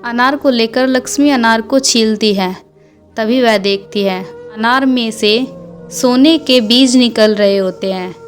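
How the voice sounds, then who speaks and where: A woman narrates a scripted cartoon moral tale in a fairly high voice. Music plays under her storybook delivery. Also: unhurried. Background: background music.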